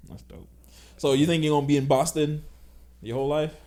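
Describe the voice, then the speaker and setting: A young man from Jamaica hosting a casual podcast, relaxed and supportive with frequent laughs. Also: fairly high pitch, a little fast. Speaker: podcast host.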